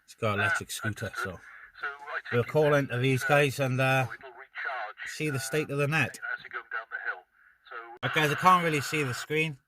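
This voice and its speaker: Male, informal rambling radio-net host, halting delivery, background voices. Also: medium pitch, a loud crowd, low-quality recording. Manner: hesitant, informal. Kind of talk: casual rambling chat to camera and radio. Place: outdoors on a hilltop by a car.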